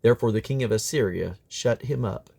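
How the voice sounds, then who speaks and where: A man reading aloud from the Bible as a scripture reader, sometimes halting and correcting himself on difficult words. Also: fairly low pitch, gravelly, darkish tone, husky. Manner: halting over difficult names, stumbles and self-corrects.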